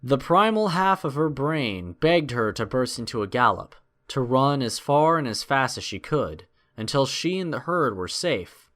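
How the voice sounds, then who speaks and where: A man's voice: medium pitch, very animated, a little slow, fairly bright tone. A man narrating a scripted adventure story in a dramatic storytelling style.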